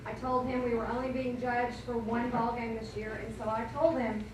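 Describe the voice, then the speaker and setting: A woman coach giving a rambling, chatty thank-you speech at a banquet, her voice going hoarse. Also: on the high side, level tone, moderate pace. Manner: rambling, self-correcting, chatty. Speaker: cheer coach. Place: school banquet room, indoors. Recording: echoey hall from far off, noisy.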